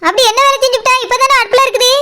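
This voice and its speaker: Squeaky, bossy cartoon boss. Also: female voice, fairly even intonation, racing, pushed and bright. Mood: brisk, teasing. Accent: Kanyakumari Tamil. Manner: scolding, mocking, colloquial. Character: bossy, sarcastic, teasing boss in a comedy cartoon. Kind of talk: comic cartoon dialogue.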